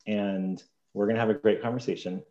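A man hosting a podcast interview, relaxed and self-deprecating, laughing often. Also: lowish voice, a little fast, roomy sound, highs cut off. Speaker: podcast host. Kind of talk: casual interview chat.

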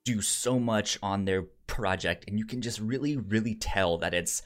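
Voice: sits low, moderate pace. A podcast co-host in casual chat, rambling along and often repeating words.

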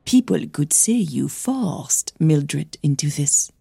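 A woman's voice: deep voice, lively intonation, slow pace, creaky, warm tone, breathy edge, no echo, heavily acted. A woman voicing a composed, wealthy older mother with dry amusement and frankness in an audio drama. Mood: serious, frank.

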